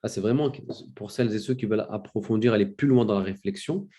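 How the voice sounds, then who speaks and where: A man with a cold giving a spoken lecture, telling a story with many fillers and restarts and rising in pitch for emphasis. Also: quick pace, mellow. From France. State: has a cold. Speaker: lecturer on religious history.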